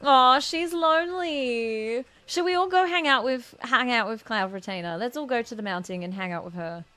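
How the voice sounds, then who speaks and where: Bright high-voiced woman game streamer. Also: normal speed, clean tone. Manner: gushing, repeats herself, talks back to characters. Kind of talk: casual live playthrough reactions.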